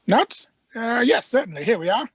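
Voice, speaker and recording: Radio play: eccentric time traveler played by a woman, husky, halting, slyly evasive. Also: on the high side, sing-song, no room sound, clean studio sound. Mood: vague, musing. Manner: halting, musing. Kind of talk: live script reading, radio play.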